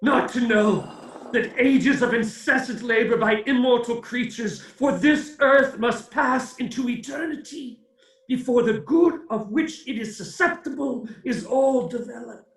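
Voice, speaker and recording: High, echoing, anguished ghost. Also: male voice, fairly bright tone, full character voice. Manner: slow, declamatory, wailing. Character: anguished ghost of a dead businessman, mournful and doom-laden. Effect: cavernous echo on the voice. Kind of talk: theatrical radio play acting. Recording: echoing, distant-sounding voice.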